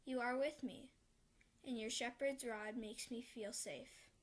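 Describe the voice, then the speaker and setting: Woman calmly reading a psalm. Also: a little high. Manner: calm, measured recitation with a repeated refrain. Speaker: lector.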